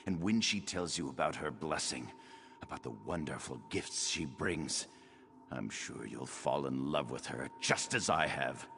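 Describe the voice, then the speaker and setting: A man's voice: a bass voice, measured pace, creaky, pushed and bright, slight background noise, heavily acted. A man playing a rapturous, fawning nobleman in a video game, effusive, theatrical and lofty in his delivery. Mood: dreamy devotion.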